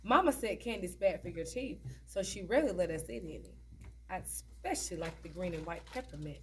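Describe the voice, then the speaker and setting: Animated, chatty older lady visitor read by a woman. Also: on the low side, fairly quick, slight background noise, natural voice. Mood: nostalgic. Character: Catherine, friendly, frank older lady visitor. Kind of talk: stage play table read.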